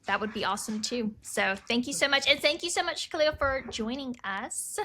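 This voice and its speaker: Female podcast co-host, American, fairly high bright voice, casual chat. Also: expressive, brisk, a little hiss or hum.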